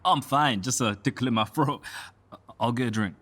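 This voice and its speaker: An actor playing a stoical shopkeeper who has a cold, in a radio soap. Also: male voice, medium pitch, normal speed, fairly bright tone, close to an everyday voice.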